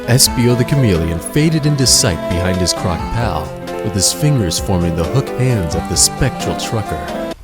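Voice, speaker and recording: A man narrating a scripted adventure story in a measured, dramatic storybook manner. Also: fairly low pitch, lively intonation, loud music behind the voice.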